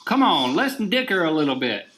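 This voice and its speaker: A man's voice: fairly high pitch, very animated, moderate pace, a bit bright. A man playing a pushy, earnest magician's partner on a comic prank call, insistent and quizzing. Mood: coaxing, insistent. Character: pushy, deadly serious magician's partner, eager to be understood. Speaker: prank caller, show host.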